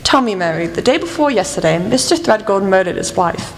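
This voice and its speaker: A woman playing a shrewd, commanding female detective in a radio play, speaking crisply and formally. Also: mid-range voice, expressive, a little fast, a little hiss or hum, lightly acted. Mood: commanding, determined. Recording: reverberant hall, faint music.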